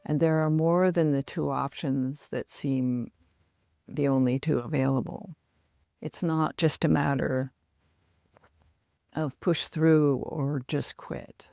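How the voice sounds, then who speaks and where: A middle-aged woman guiding a relaxation meditation in a soothing, measured manner with long pauses. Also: low-pitched, fairly even intonation, gravelly, warm tone, no room sound, clean studio sound. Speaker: yoga nidra meditation guide.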